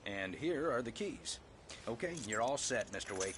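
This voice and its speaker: An actor playing a friendly, harried motel clerk who talks apologetically in a video game. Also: male voice, mid-range voice, lively intonation, normal speed, fairly bright tone, a little hiss or hum, natural voice. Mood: apologetic.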